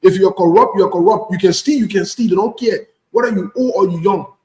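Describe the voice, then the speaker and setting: A West African man with a fairly high voice rants about politics in an echoey room. He speaks bluntly and indignantly, hammering phrases through repetition. The delivery is unscripted, like an online commentator. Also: fairly quick, darkish tone. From Ivory Coast.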